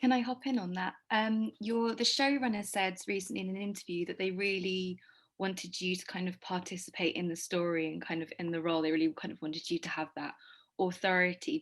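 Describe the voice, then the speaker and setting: A woman's voice: fairly low pitch, fairly bright tone. A woman in her twenties, a journalist, asking casual interview questions on a video call. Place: indoor room, video call.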